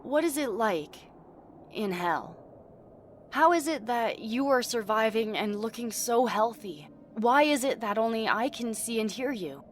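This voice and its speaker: A woman's voice: a little high, animated, measured pace, a little hiss or hum. An actress playing an anxious, earnest young female spellcaster in a fantasy audio drama. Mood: troubled.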